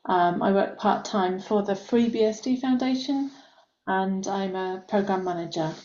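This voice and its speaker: A woman's voice: medium pitch, measured pace. Woman hosting, echoey dull call. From United Kingdom. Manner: friendly, light laughter, gentle fillers. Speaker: meeting host, program manager. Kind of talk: hosting an online meeting. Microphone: video call. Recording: roomy, slightly dull call audio.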